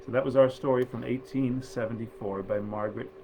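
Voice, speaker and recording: A man reading nineteenth-century fairy tales aloud in a measured, expressive storyteller's manner. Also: mid-range voice, a little hiss or hum, low-quality recording. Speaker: actor reading stories aloud.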